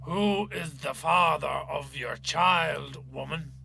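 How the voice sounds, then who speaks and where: A man plays a menacing Irish parish priest in a dramatic story reading. His delivery is very slow and pompous, with a hard, forceful edge and a gravelly creak, over a clearly audible hum. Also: fairly high pitch, full character voice. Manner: slow, commanding, thundering delivery. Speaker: actor.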